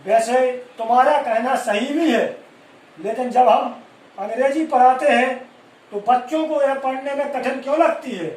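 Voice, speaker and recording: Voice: sits high, moderate pace, a little mellow, lightly acted. Someone playing an encouraging teacher. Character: teacher listening to a student. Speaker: teacher in a school skit. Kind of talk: amateur recited skit. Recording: echoey room, distant mic, faint hiss.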